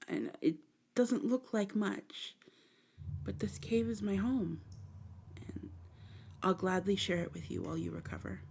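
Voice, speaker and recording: Woman playing a soft, mellow, lonely dark fae. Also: mid-range voice, creaky. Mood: gentle, caring. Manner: tender, hesitant, apologetic, formal storybook phrasing. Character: gentle, lonely, abandoned female dark fae healer. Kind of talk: ASMR roleplay, softly spoken to the listener. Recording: faint background noise.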